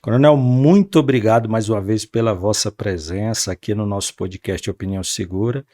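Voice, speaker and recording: A man's voice: on the low side, brisk, dark tone, no room sound, clean studio sound. A man hosting an interview podcast, earnest and formal, with many fillers. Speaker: podcast host.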